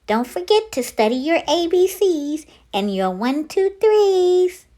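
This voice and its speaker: Slow, animated woman reading to kids. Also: very high-pitched. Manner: sing-song, addressing small children. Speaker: children's story-time host. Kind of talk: animated read-aloud storytelling for young children.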